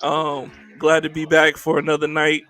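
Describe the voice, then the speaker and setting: A man's voice: fairly high pitch, completely flat delivery, normal speed, bright, forceful tone, faint music behind. A man hosting a casual anime podcast, joking and laughing easily as he introduces his co-hosts. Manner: laid-back, joking, laughs often. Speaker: podcast host.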